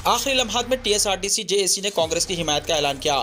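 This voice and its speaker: A man's voice: sits high, lively intonation, quick pace, sharp tone, soft background music. A man reads a scripted news bulletin in a formal, declarative way. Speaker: news reader.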